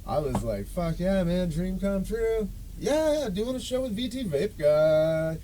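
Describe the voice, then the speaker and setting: A man's voice: high voice, sing-song, a little slow, dark tone, slight background noise. A man hosting a podcast, telling a story in a casual, rambling, self-deprecating way with loose swearing. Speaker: podcast and show host.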